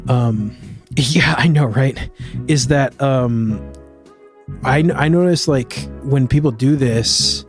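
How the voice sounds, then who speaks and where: Male streamer, mid-pitched, rambling casual chat in an assumed Scottish accent, soft music underneath. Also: slow. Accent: put-on Scottish, a mix of Glaswegian and Edinburgh. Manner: rambling, with many fillers and self-corrections. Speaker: live streamer.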